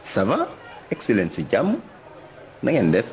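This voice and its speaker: A man's voice: on the low side, wildly swooping pitch, unhurried, a little mellow, slight background noise. A man with a Senegalese accent acting a polite restaurant waiter in a comic cartoon. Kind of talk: comic animated cartoon dialogue.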